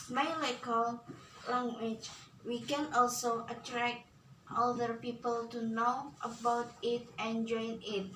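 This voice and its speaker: A woman's voice: on the high side, fairly bright tone. A young woman with an Indonesian accent giving an earnest, memorized formal speech as a student speaker. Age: young adult. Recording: roomy echo, from far off, faint noise.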